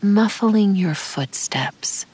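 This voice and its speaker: A woman narrating a guided meditation in a calm, soothing, measured way, with a soft, breathy voice that often sinks to a near-whisper. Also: mid-range voice, low-quality recording. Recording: birdsong and forest ambience behind. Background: birdsong, insects, nature ambience.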